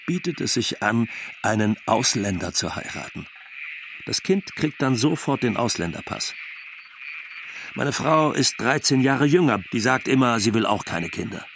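An actor playing a blunt, cynical older husband in a documentary radio play monologue, with sardonic delivery. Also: male voice, medium pitch, a bit bright, a little hiss or hum.

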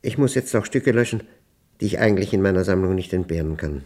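An actor in a German radio play, playing a troubled, methodical professor who dictates a diary in deliberate fragments. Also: male voice, low voice, expressive, a little mellow. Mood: pedantic, uneasy. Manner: deliberate, fragmented phrasing, precise, pedantic. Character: troubled methodical male professor recording his nightmares as a diary.

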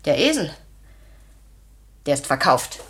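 An actress in a 1960s German radio play playing a hard-hearted wife, curt, sharp-tongued and dismissive. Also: female voice, sits low, sing-song, slow pace, heavily acted. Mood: cold. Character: cold, scornful, hard-hearted wife turning husband away.

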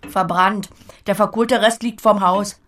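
A woman's voice: mid-range voice, fairly even intonation, a little slow, a bit bright, lightly acted. An actress in an audio drama playing an earthy, lonely farm woman who speaks in a plain, musing, matter-of-fact way, blunt but tender. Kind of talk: audio drama acting with inner monologue.

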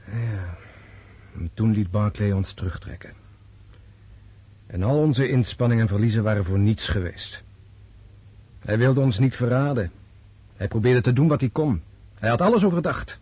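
An actor in a Dutch radio drama playing a disillusioned, resolute Russian officer prince, speaking in a deliberate, scornful way. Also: male voice, lowish voice, expressive, darkish tone, husky, dry recording. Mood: bitter, lecturing.

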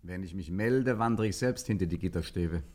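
A man playing a suave, self-assured gentleman gangster in a vintage German radio play, dry and a little pedantic. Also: low-pitched, brisk. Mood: dry, smug.

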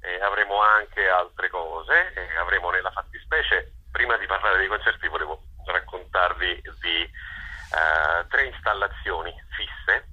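A man being interviewed as an event organizer, speaking unscripted and hesitantly, often pausing to search for words. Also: low voice, sharp tone, breathy edge, muffled recording.

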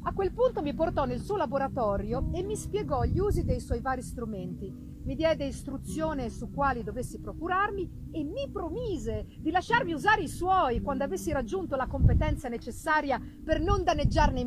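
A woman giving a theatrical first-person reading of a Gothic novel, with deliberate phrasing and dramatic pauses. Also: sits high, low-quality recording. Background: live music accompaniment.

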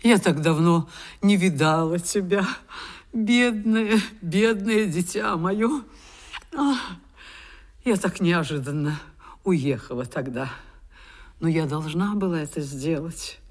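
An actress playing a kindly, emotional widowed countess in a Russian radio drama, with a tender, effusive, theatrical delivery. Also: female voice, on the low side, expressive, takes it slowly, long pauses. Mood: tender, overjoyed.

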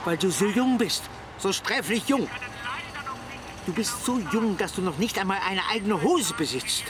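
An actor playing a stern, patronising guardian who scolds a youngster in a German radio drama. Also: male voice, sits high, lively intonation, measured pace. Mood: scolding. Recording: noisy street with traffic and machinery.

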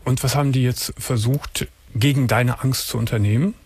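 A man hosting a late-night radio call-in show, talking in a calm, measured way and gently probing his callers. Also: medium pitch, breathy edge. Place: radio studio.

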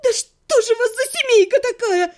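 A woman narrator plays a young female magic student in a fantasy audiobook, with a very high voice. She is feisty, impassioned and dramatic, swinging between pleading and defiance. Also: expressive, moderate pace, warm tone. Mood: angry, defiant.

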